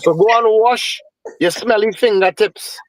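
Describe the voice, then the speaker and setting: A man from Trinidad with a Trinidadian accent, a guest telling a lively story with Caribbean phrasing in a casual interview. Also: sits high, normal speed, low-quality recording. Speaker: guest musician.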